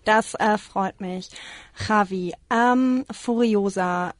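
A woman hosting a casual interview, asking relaxed, chatty questions with fillers. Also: medium pitch, slow pace, dry recording. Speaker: host.

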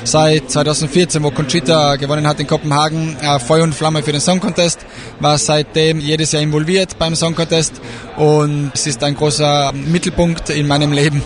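A man's voice: fairly high pitch, flat delivery, normal speed. A man from Austria, an event organizer, talking eagerly with many fillers in an interview at a trade fair. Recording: crowd noise behind.

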